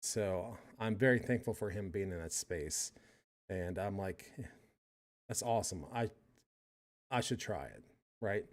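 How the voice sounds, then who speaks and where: A man's voice: low voice, animated, a little slow, long pauses. A middle-aged man hosting a stream, talking casually and rambling, with frequent restarts. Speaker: stream host reacting to a podcast. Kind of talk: casual personal commentary.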